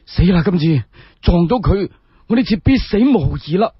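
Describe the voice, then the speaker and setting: A man voicing a principled, stubborn gentleman official who speaks firmly and emphatically. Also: high-pitched, expressive, normal speed, slightly rough, dry recording, dull audio, exaggerated voice. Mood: alarmed. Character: upright, stubborn gentleman official, refusing indignity.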